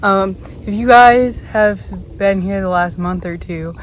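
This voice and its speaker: A woman's voice: mid-range voice, slow pace. Chatty young woman vlogging outdoors. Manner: chatty, rambling with many ums and apologies. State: slightly rough throat after a cold. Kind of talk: casual chat to camera. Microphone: camera set on a chair. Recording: outdoor noise, audible vehicles. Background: outdoor ambience with passing vehicles.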